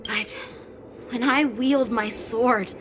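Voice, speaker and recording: A woman voicing an earnest, serious young boy sword apprentice in a game cutscene, speaking in a reflective, measured way. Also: fairly high pitch, steady tone, a bit bright, slight background noise. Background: game score music.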